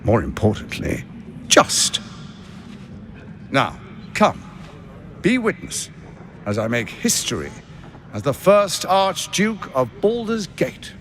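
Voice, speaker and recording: An actor playing a smooth, ambitious tyrant lord in a fantasy game, speaking in pompous, measured oratory. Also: male voice, on the high side, wildly swooping pitch, fairly bright tone, a little hiss or hum, heavily acted. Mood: grandiose. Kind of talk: theatrical fantasy game dialogue. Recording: music behind the voice.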